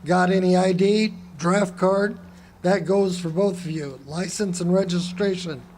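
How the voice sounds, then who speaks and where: An actor playing a bullying, suspicious small-town lawman in a radio drama, gruff and contemptuous as he interrogates. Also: sits high, fairly even intonation, a little slow, darkish tone. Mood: hostile, sneering. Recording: live stage recording, faint noise.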